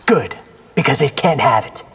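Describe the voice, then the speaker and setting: A man's voice: medium pitch, animated, normal speed, creaky, heavy background noise. A man playing a young ninja warrior in a fighting game, wry, cocky and casual. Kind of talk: fighting game character acting.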